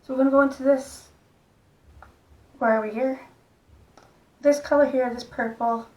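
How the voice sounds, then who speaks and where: A middle-aged woman with a croaky voice, gently talking through a makeup tutorial in a soft, unhurried way. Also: high-pitched, fairly even intonation. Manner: soft-spoken, unhurried, stops and restarts gently. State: hoarse, croaky voice. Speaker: makeup vlogger. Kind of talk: casual makeup tutorial talk.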